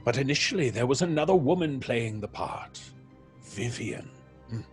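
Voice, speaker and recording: A man playing a troubled theatre actor in an audio horror drama, speaking haltingly with dramatic pauses. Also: medium pitch, expressive, faint music behind, natural voice. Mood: grieving, haunted. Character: troubled theatre actor, haunted by a past tragedy.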